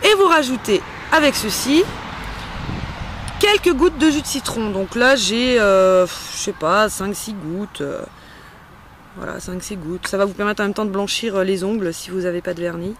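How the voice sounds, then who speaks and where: A woman's voice: medium pitch, moderate pace, slight background noise. A woman hosting a casual step-by-step tutorial in a chatty, playful and informal way. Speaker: host of a homemade beauty-care tutorial. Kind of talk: casual spoken step-by-step demonstration.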